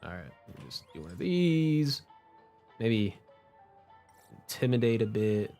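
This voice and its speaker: A man's voice: fairly low pitch, big pitch swings, measured pace, mellow. A young man doing casual, slangy live game commentary in a playful, joking manner. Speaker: game streamer. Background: game background music.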